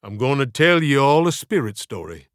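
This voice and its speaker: A man's voice: mid-range voice, big pitch swings, normal speed, no room sound, studio quality, natural voice. A man voicing a folksy, plain-spoken man telling a spooky childhood tale in an audiobook. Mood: hushed storytelling.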